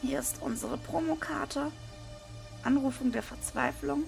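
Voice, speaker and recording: A woman with a hoarse voice, casually commenting as she unboxes trading cards at a desk. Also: high-pitched, unhurried, faint music behind. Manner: relaxed, with frequent hesitation fillers. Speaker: card unboxing video host. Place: indoors at a desk, hands over table. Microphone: small camera-mounted microphone.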